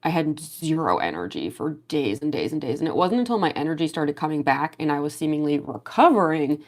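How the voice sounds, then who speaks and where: A young woman with a slightly low voice talks casually on a livestream while she does her makeup. She rambles along with plenty of fillers and quick little laughs. She sounds a bit stuffy and sniffly, still getting over a cold. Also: lively intonation. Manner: rambling, many fillers, laughs often, reads chat aloud. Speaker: cosplay livestreamer.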